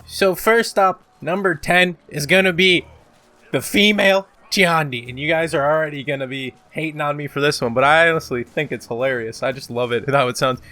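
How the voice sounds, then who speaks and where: A man's voice: a little high, normal speed, sharp tone. A man casually hosting a gaming countdown video, talking in a joking, exaggerating way with some swearing. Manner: belts out game voice lines. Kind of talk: casual top-ten countdown commentary.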